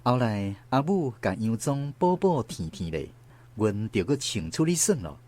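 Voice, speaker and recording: Lively low-pitched Taiwanese man narrating. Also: moderate pace. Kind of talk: scripted first-person storytelling for radio drama. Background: faint guitar music.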